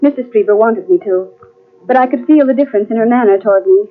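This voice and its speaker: Actress as guarded secretary, tinny old radio. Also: female voice, a little high, fast, lightly acted. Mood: grave recounting. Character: guarded, principled female secretary narrating her ordeal. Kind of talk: old-time radio mystery acting and narration. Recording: tinny, band-limited old radio cassette transfer.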